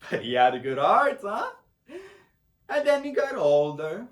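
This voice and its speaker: A proud, tender, grieving middle-aged Puerto Rican father, acted by a man in a dramatic monologue. His voice is high and swings widely, with a Spanish accent and rambling broken English. It sounds in an echoey room. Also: sing-song, measured pace. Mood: fond, wistful. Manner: broken English, rambling, tags phrases with "huh?".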